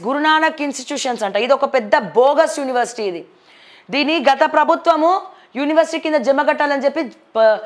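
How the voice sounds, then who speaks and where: A woman with a Telangana accent presenting news headlines with informal, outspoken commentary and some English words mixed in. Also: sits high.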